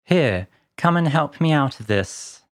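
A woman narrator puts on a pompous, irate male rabbit from a storybook. The voice is dropped very deep and measured in pace. He scolds and commands as a master to his servants. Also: lively intonation. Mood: angry, bossy. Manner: imperious, scolding. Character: angry, bossy male rabbit master giving orders.

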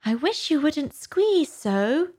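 Woman acting little sleepy storybook mouse, male, high-pitched, squeaky, slow drawl, sulky, complaining. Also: very animated, warm tone, full character voice.

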